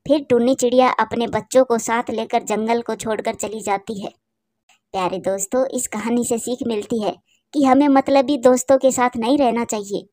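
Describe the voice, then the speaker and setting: A woman's voice: fairly high pitch, a little mellow, no room sound, studio quality. A woman narrating a scripted children's story as the storyteller. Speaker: narrator and channel host.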